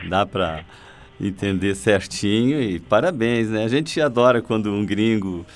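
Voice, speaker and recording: A man's voice: lowish voice, animated, measured pace. A man hosting a radio interview, friendly and encouraging toward his guest. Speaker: radio host.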